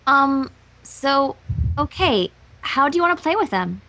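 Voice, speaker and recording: A woman's voice: high voice, big pitch swings, a little slow, bright, forceful tone, exaggerated voice, low-quality recording. A woman voicing a bookish, puzzled young cartoon unicorn mare with a hesitant, questioning manner, in a story reading.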